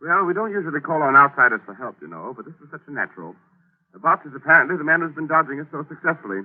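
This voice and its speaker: Animated low-voiced investigator on tinny radio. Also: male voice, very fast. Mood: confident. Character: self-assured male investigator explaining a trap. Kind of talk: 1940s radio acting. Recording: tinny, band-limited 1940s radio recording.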